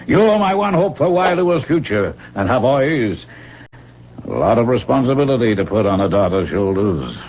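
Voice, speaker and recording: A man's voice: mid-range voice, big pitch swings, moderate pace, close to an everyday voice. An actor playing a doting but domineering ageing father in a radio drama, fond and insistent in manner. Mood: fond, earnest. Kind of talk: old-time radio drama acting. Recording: dull, faintly noisy old radio recording.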